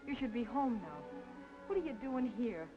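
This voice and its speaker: Weary nightclub woman, guarded, expressive pitch, film noir, muffled old recording, loud background music. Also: normal speed. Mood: worried. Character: world-weary guarded nightclub singer, loyal and protective woman. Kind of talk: mid-century film noir acting.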